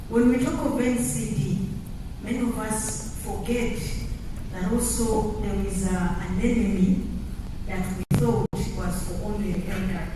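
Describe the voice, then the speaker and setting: A woman moderating a conference panel, chatty and asking the audience and panelists questions. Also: mid-range voice. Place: large conference hall. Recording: echoey hall from far off, noisy.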